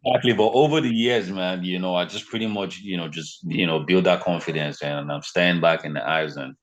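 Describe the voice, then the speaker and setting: A man's voice: low-pitched, a little fast. A man from Nigeria whose first language is Yoruba, talking casually as a podcast guest and filling his speech with "you know" and "man". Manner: relaxed, lots of fillers like "you know" and "man". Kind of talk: casual conversation.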